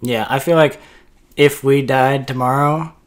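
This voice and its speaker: A man's voice: medium pitch, fairly even intonation, a little slow. A man in his early twenties with an American accent, co-hosting a podcast in a casual, informal conversational style. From Kansas, United States. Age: early 20s. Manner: informal, uses "like" often. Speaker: podcast co-host.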